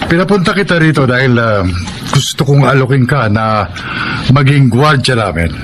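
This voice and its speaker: A man with a Filipino accent playing a wealthy, friendly homeowner in a radio drama, genial and measured with quiet authority. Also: medium pitch, lively intonation, lightly acted. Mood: persuasive, confiding. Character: wealthy, friendly male homeowner hiring a guard. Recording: noisy background ambience.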